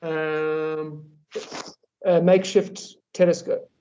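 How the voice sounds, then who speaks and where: A man's voice: a little high, level tone, slow pace, warm tone, a little hiss or hum. A man taking part in an online workshop, answering hesitantly and playfully, thinking aloud with "um". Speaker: workshop participant. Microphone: video call. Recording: muffled video call audio.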